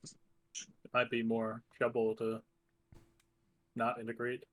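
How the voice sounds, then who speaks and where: Male player, unhurried and tentative, many gaps, dry close recording. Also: medium pitch, monotone, no room sound, rough recording. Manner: halting, tentative. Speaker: tabletop roleplay player. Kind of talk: improvised in-character game talk.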